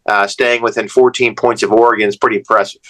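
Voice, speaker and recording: A man's voice: lowish voice, little pitch movement, fast, a bit bright. A man on a sports podcast panel, chatting casually in a blunt, conversational way. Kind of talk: casual chat.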